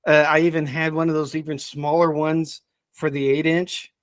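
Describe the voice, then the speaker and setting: A middle-aged man chatting casually as a podcast's subject expert, thinking aloud in a halting way with repeated words. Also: fairly high pitch, flat delivery, no room sound, studio quality. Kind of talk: casual chat.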